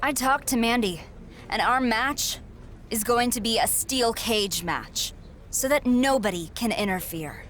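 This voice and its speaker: A woman's voice: on the high side, unhurried, fairly bright tone, a little hiss or hum. A woman voicing a confident young female wrestler in game cutscenes, talking in a cool, sarcastic, self-assured way. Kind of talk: video game cutscene dialogue.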